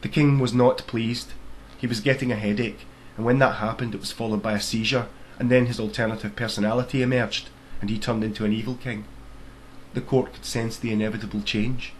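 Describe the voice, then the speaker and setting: A man narrates a storybook as its author, in a mid-pitched voice. The delivery is scripted reading, and there is faint noise behind him. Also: level tone, a little fast, rough recording. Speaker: author reading own book.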